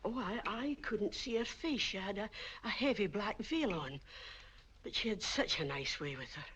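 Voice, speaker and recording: A woman's voice: mid-range voice, expressive, normal speed, a bit bright, lightly acted. A kindly old landlady, played by a woman, whose voice flutters up and down as she stammers out her apologies. It comes through a dull old film soundtrack with a faint hiss. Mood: apologetic. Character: flustered, kindly elderly English landlady. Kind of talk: 1940s film acting.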